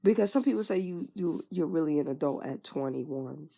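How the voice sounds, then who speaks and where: Young woman, candid vlog venting, frank and casual, gravelly low voice, husky. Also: lively intonation, moderate pace, warm tone. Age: 20s. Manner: frank and conversational, swears casually, trails off with fillers. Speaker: vlogger. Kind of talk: candid personal venting monologue.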